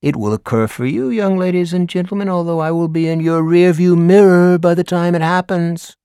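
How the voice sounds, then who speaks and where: A man voicing a theatrical, flamboyant high school English teacher who declaims and lectures with grand emphasis. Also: darkish tone, dry recording, clean studio sound. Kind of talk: audiobook character voice.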